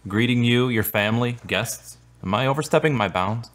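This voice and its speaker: A man's voice: medium pitch, normal speed, a bit bright, lightly acted. An actor playing a kind, devout male friend in a radio drama, with a polite, earnest, tentative manner.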